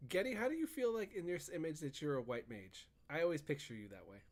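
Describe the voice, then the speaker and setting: A man's voice: a little high, wildly swooping pitch, fast talker. A man co-hosting a podcast in casual chat, playful and teasing. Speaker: podcast co-host.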